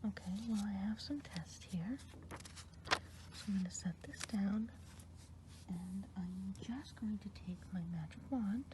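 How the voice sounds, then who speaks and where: A woman voicing a kind, gossipy good witch in an ASMR roleplay, whispering close to the mic and rambling with gentle hesitations. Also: medium pitch, a little hiss or hum, lightly acted, rough recording. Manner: whispered throughout.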